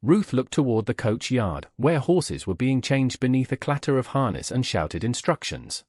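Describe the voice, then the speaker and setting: A man reading scripted audiobook narration in a measured, literary manner. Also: medium pitch, sing-song, darkish tone, no echo, studio quality.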